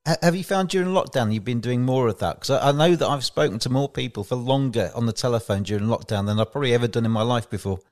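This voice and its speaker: A man's voice: medium pitch, quick pace, hardly any pauses, darkish tone. A man hosting a podcast, asking questions in a chatty, friendly way. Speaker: podcast host. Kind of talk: casual interview chat.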